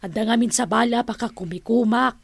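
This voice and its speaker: A comic, exasperated auntie with a fairly high, creaky voice. She hesitates and exclaims in radio drama style, recorded dry. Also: brisk, no echo. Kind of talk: Ilocano radio drama acting.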